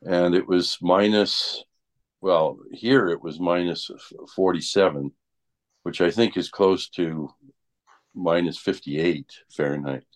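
A man in casual conversation, explaining things haltingly and repeating words as he goes. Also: low-pitched, fairly even intonation. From Canada. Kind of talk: casual group chat about weather.

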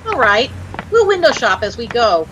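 An actress with an American accent playing a chirpy, easily dazzled young housewife who gushes and lightly scolds in a 1940s radio comedy. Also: female voice, fairly high pitch, sing-song, normal speed. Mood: gushing, admiring. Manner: gushing, breathlessly admiring, lightly scolding. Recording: noisy old-time radio recording.

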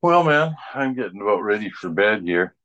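A man's voice: lowish voice, big pitch swings, moderate pace, fairly bright tone, dull audio, a little hiss or hum. A middle-aged man in casual, informal chat, rambling along in a folksy way. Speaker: radio show presenter.

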